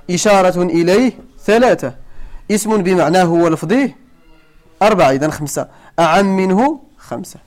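A man's voice: sits high, darkish tone. A man with a Moroccan accent teaching grammar to a class, asking students questions and repeating each point for emphasis. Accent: Moroccan Arabic. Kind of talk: classroom lesson.

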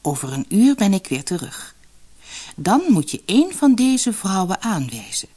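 Woman as stern old fairy-tale woman, grave, commanding, husky, storybook reading. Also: mid-range voice, big pitch swings, measured pace, warm tone. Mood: solemn.

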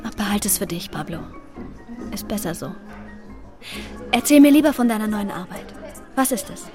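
An actress playing a lively, friendly young female student in a German radio drama. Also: mid-range voice, normal speed, faint music behind, lightly acted. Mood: light, kind. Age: young adult.

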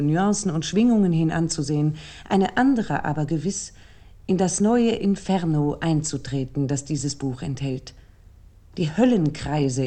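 A woman playing an essayist, reading a literary essay for a radio play in a measured, formal, deliberate manner. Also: lowish voice, mellow. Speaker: narrator.

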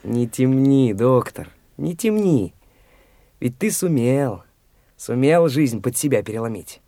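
A man's voice: medium pitch, expressive, slow, warm tone, no echo, studio quality. A cocky pushy young guy. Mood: blunt. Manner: cocky, taunting, blunt. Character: brash cocky young man Mitya, pushy. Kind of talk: studio radio play acting.

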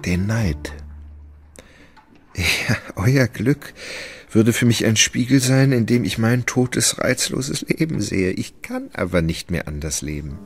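An actor playing a gentle, melancholy and sluggish gentleman in a German radio play, with an emotional, wavering delivery. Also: fairly low pitch, expressive, slow pace, husky, faint music behind, full character voice. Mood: resigned, melancholy. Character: gentle, sluggish, melancholy Russian gentleman, sentimental and easily moved.

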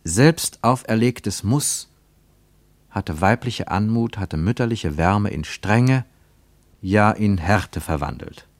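A man reading scripted literary narration for radio, with measured, rhetorical and emphatic phrasing. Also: fairly low pitch, lively intonation, no room sound.

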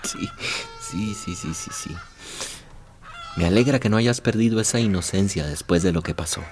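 An actor playing a gentle, affectionate older country father with a Central American accent in a radio drama. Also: on the low side, wildly swooping pitch, normal speed, slight background noise. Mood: tender, relieved. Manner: tender, affectionate, rustic.

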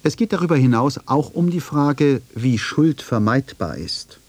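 A man's voice: mid-range voice, expressive, measured pace, darkish tone, no echo. A man narrating a scripted radio essay.

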